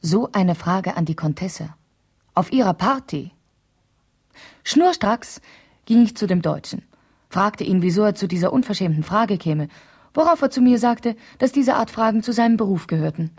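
A woman's voice: medium pitch, lively intonation, moderate pace, a little mellow, slightly rough. An actress playing a vain, chatty and easily indignant wife in a 1970s German radio play, digressing as she justifies herself. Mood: gossipy.

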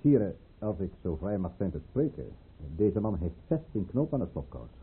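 An actor playing a shrewd, courteous governor and conspirator in a Dutch radio play, measured and controlled. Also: male voice, low voice, big pitch swings, breathy edge, narrow, tinny audio, exaggerated voice. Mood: controlled, deferential. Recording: noisy band-limited old radio recording.

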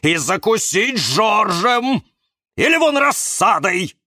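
A man voicing a grumpy, hot-tempered and suspicious cactus in a children's story, barking loudly and indignantly. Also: sits high, very animated, slow, no room sound, studio quality, pitched above the performer's own voice, full character voice. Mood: angry, alarmed.

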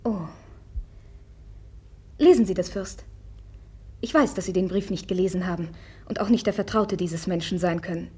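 Casting: proud young noblewoman, dark breathy voice, curt and commanding, 1950s radio play. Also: lowish voice, normal speed, long pauses. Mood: cool, commanding. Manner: curt, imperative. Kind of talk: 1950s German radio drama acting.

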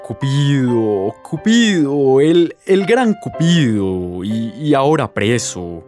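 A man playing a solemn, dramatic narrator of a radio soap, speaking in a grandiose, deliberate manner. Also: mid-range voice, big pitch swings, a little mellow. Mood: mock solemn. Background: music with guitar.

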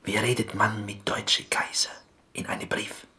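An actor playing an earnest, excitable Greek prisoner in a radio drama, telling stories insistently in halting, broken speech with a Greek accent. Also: male voice, lowish voice. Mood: insistent, hushed. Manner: halting broken German, insistent, half-whispered storytelling.

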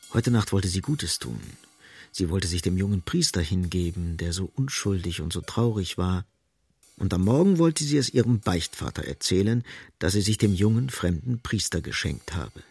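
An actor playing the storyteller of a literary radio drama, narrating deliberately and expressively. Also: male voice, low voice, creaky, breathy edge, full character voice. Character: omniscient male storyteller of a literary novel. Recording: faint music behind the voice.

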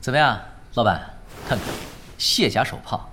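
A man's voice: mid-range voice, animated, normal speed, over music, close to an everyday voice. An actor playing a cool, unflappable male veteran gamer in a Chinese audio drama, casual, confident and teasing. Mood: relaxed, confident.

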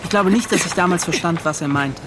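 An actor playing a tormented, defensive ship's officer, recounting in an agitated, pleading and halting way in a radio drama. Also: male voice, sits high, background noise, lightly acted. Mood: urgent recounting. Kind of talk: radio drama acting, confessional monologue.

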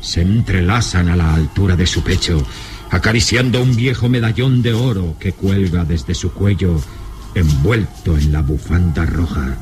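A man narrating a gothic adventure radio serial in a solemn, suspenseful manner with deliberate phrasing. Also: sits low, darkish tone, lightly acted. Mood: solemn, ominous. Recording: old radio serial with dramatic music. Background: dramatic music.

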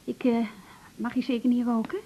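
An actress playing a nervous, self-conscious patient in a radio play, speaking in halting, faltering phrases on a dull recording. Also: female voice, a little high, level tone. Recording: dull band-limited sound, faint noise.

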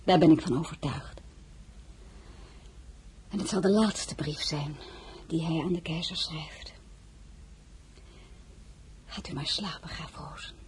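An actress playing a dignified, lonely crown princess, frank and wistful, speaking intimately in a dramatic audio play. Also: female voice, low-pitched, slow, long pauses, a little mellow, whispered. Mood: resolute. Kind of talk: dramatic radio play acting.

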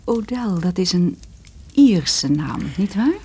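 A woman playing a seductive, nervy widow in a radio play, with a husky, breathy voice and a languid, coquettish manner. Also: medium pitch, lively intonation, slow pace, warm tone, slight background noise. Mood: drowsy, seductive.

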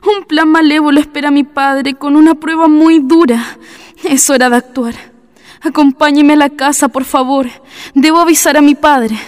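An actress playing a distressed but resolute daughter of a president in a melodramatic historical radio drama style. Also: female voice, high-pitched, steady tone, moderate pace, close to an everyday voice. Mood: urgent.

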